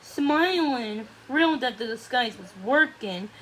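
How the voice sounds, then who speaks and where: A woman's voice: a little high, animated, measured pace, a bit bright, slight background noise, low-quality recording. A woman reading a children's storybook aloud as narration. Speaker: story reader.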